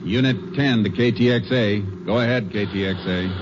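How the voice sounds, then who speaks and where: An actor playing a calm Texas Ranger. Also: male voice, on the low side, very noisy, lightly acted. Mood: steady. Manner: calm, unhurried, matter-of-fact. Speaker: lawman lead. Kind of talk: 1950s radio drama acting. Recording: dull lo-fi 1950s radio broadcast with music.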